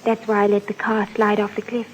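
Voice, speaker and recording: A woman's voice: mid-range voice, monotone, a little fast, close to an everyday voice, rough recording. A woman playing a romantic, earnest young island woman in love, tender and pleading in old radio drama style. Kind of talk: 1950s radio drama acting.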